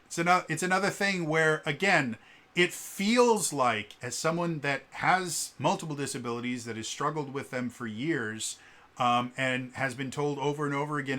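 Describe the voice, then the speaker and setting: A man with a stutter giving casual spoken commentary as a vlogger, halting with many fillers and repeated sounds. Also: medium pitch, pushed and bright.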